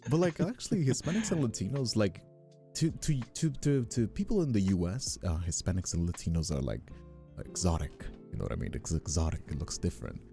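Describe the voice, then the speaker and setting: Very dark, deep-voiced man, gravelly. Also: animated, brisk, faint music behind. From Brazil. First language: Portuguese. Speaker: streamer and ASMR voice actor. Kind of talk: casual livestream chat.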